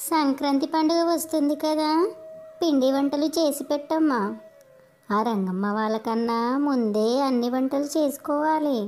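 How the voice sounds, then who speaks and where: A woman's voice: high-pitched, fairly even intonation, moderate pace, a little mellow, close to an everyday voice. A woman voicing a bossy, competitive village mother-in-law in a cartoon moral story. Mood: bossy, eager.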